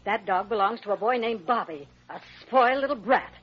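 A woman's voice: medium pitch, brisk, breathy edge, close to an everyday voice. A woman acting an indignant, fretful, overprotective mother in a 1940s-style radio drama. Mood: bitter, distressed. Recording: muffled, hissy old radio broadcast.

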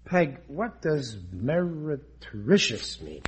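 An actor playing a blustering, stubborn, dim working-class husband of about forty in a 1940s radio comedy, often hesitant and ungrammatical. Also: male voice, on the high side, sing-song, takes it slowly, a little hiss or hum. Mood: puzzled, evasive. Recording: old radio broadcast recording.

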